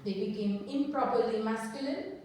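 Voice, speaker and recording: A woman giving an academic panel talk at a conference, measured and thoughtful, often posing questions to the audience. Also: on the high side, a little mellow, slight background noise. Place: conference hall. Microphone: microphone that cut out mid-talk. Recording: echoey hall, from far off.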